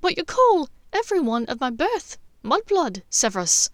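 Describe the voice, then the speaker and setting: A hurt young witch answers in a high, cutting, reproachful voice, as a woman narrator puts her on. Her words come sharp and raised, recorded close and dry. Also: sing-song, normal speed, no room sound.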